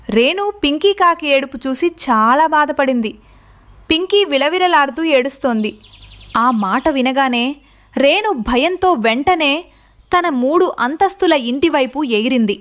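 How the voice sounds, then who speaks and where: A woman's voice: sits high, expressive, moderate pace, fairly bright tone. A woman narrating a scripted story for children.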